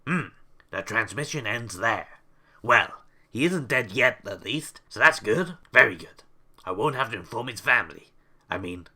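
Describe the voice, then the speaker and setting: A man plays an eccentric scientist, pompous and breezily callous. He muses to himself in an audio drama monologue. The tone is extremely bright and sharp, with big swings in pitch. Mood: musing. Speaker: in-story podcast presenter.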